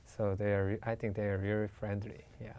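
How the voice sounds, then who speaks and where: Young man, Korean accent, low smooth voice, halting English with fillers, interview guest. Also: flat delivery, darkish tone. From South Korea. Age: young adult. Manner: halting non-native English with many fillers. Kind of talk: casual interview answers.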